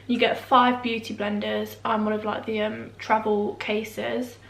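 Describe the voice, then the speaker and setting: A woman's voice: on the high side, little pitch movement, unhurried, creaky, a bit bright, slight background noise. A young woman, a beauty vlogger, chatting rambly and self-deprecating with lots of fillers, still a bit nasal from a cold. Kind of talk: casual chatty vlog. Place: room at home, indoors. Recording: echoey room.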